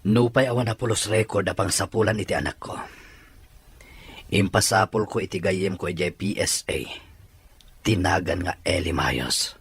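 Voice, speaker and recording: A man's voice: low voice, normal speed, pauses often, husky, dry recording, full character voice. A man playing a troubled, earnest father searching for a lost child in an audio drama. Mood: sad, resolute.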